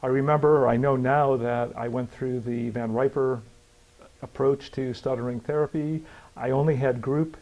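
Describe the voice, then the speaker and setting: An older man telling his own story in a measured, reflective way, with fillers and a slight stammer in places. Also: medium pitch, steady tone, darkish tone, lo-fi sound. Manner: measured, thoughtful, with pauses and fillers.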